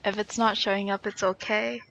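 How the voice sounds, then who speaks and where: A woman's voice: medium pitch, level tone, moderate pace, creaky, a bit bright. A young woman live-streaming a game in casual, reactive chat, swearing casually, on slightly dull lo-fi audio.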